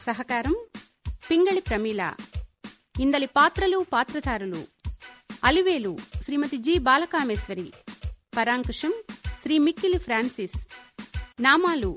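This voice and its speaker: A woman's voice: high voice, expressive, normal speed, pauses often, a bit bright. A woman reading a scripted announcement as a radio play presenter. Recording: dull radio recording, faint music.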